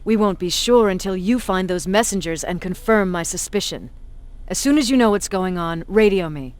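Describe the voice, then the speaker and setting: Actress as female resistance commander, seasoned fighter, blunt and authoritative, mid-pitched, for a sci-fi game. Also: expressive, moderate pace, darkish tone, natural voice. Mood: commanding. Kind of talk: video game voice acting.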